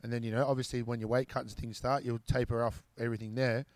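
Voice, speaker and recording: Fast-talking, swearing male host. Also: mid-range voice, dry recording. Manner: animated, swears freely, acts out quotes. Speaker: podcast host. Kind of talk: casual podcast chat.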